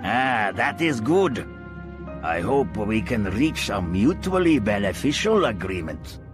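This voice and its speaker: An actor playing an older, calculating crime boss in a video game, courteous, formal and measured, with music behind. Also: male voice, on the low side, sing-song. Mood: suave, pleased. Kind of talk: video game cutscene acting.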